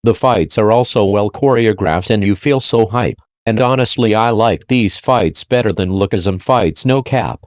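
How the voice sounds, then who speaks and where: A man with a fairly low voice narrates a scripted countdown review. The sound is dry and close, and his wording is informal and peppered with slang, as a video host's would be. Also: measured pace, few pauses, no echo.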